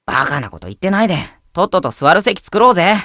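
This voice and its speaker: A melodramatic teenage boy, the comic sidekick of a Japanese visual novel. The voice is high and piercingly bright, with very lively swings. He is gullible and excitable. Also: very fast, dry recording, studio quality, exaggerated voice. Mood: brash. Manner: melodramatic, swinging from shrieks to mournful murmurs.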